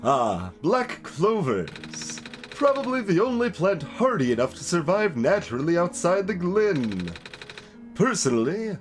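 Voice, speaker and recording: Man voicing a slow, musing talking potted plant. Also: sits high, sing-song, faint music behind, pitched above the performer's own voice. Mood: chatty, informative. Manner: slow, drawn-out, wistful musing delivery. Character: gentle plant expert, a talking potted plant in shirt.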